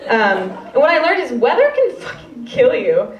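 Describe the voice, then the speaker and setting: A woman's voice: fairly high pitch, very animated, moderate pace. A woman in her twenties with a Chicago accent doing a stand-up set, rambling and self-deprecating with many fillers. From Chicago, US Midwest. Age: 20s. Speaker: stand-up comedian. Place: stage in a hall. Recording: roomy, distant, dull-sounding live recording.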